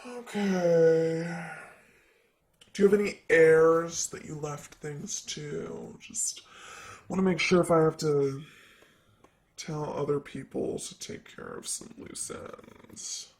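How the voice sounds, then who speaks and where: A man's voice: on the high side, animated, slow pace, darkish tone. A man playing a sassy, vain, flirty ancient demon secretary in an improvised ASMR roleplay, with a teasing, casual manner. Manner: teasing, casual, drawling asides.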